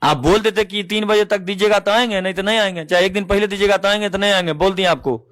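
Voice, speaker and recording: A man's voice: high-pitched, steady tone, fast talker, a bit bright. A man with a Bihari accent arguing insistently on a recorded phone call, often repeating his phrases as an event organizer. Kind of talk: argumentative phone conversation.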